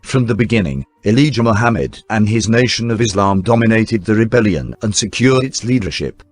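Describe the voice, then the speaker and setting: A man reading scripted newsreel documentary narration in a formal, measured announcer style. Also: on the low side, expressive, no echo. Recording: dry voice-over with faint music bed. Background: faint background music.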